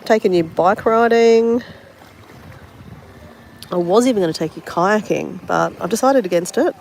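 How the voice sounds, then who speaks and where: A woman's voice: mid-range voice, measured pace, vocal fry, darkish tone, slight background noise. An Australian woman with a bit of a cold, chatting casually and mocking herself to viewers as she paints outdoors by the sea. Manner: chatty, self-mocking, talks straight to viewers. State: sniffly with a cold. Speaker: travel vlogger. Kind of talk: casual livestream chat while painting.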